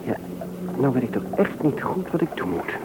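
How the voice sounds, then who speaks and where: An actor playing a gentle, hesitant, sensitive fiancé in an old Dutch radio play, speaking softly. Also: male voice, medium pitch, big pitch swings, moderate pace, noisy background, natural voice. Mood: thoughtful. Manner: hesitant, soft-spoken.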